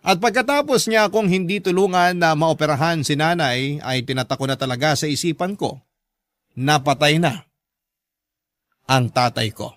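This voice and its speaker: A man with a Filipino accent narrating as a sorrowful grown child in an earnest, measured radio drama letter style. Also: on the high side, fairly bright tone, dry recording, clean studio sound, close to an everyday voice. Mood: hurt, reflective. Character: sorrowful grown child recounting a father's betrayal.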